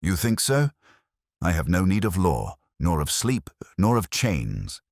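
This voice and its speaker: A man voicing a serenely menacing male cult leader in an audiobook, measured, defiant and relentless. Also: sits low, huge pitch swings, a little mellow, dry recording, clean studio sound, exaggerated voice. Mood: calm defiance.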